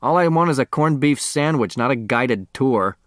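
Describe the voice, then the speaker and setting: A man's voice: medium pitch, expressive, moderate pace, no echo, studio quality, close to an everyday voice. A man reading the part of a sardonic, grumbling, hungry husband in an audiobook. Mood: irritated. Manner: grumbling, wry.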